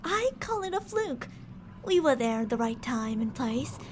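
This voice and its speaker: A very high female voice put on by a woman plays an unhinged mad scientist in an ASMR roleplay. She is cocky, blunt and theatrical, with some noise in the background. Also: sing-song, a little slow. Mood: cocky, nonchalant. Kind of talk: ASMR roleplay audio drama.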